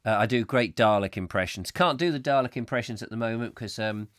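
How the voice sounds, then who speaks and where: A slightly hoarse man hosting a comic live chat show, rambling whimsically and mocking himself as he reads out viewer comments. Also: mid-range voice, fairly quick, fairly bright tone, no room sound, clean studio sound. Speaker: host.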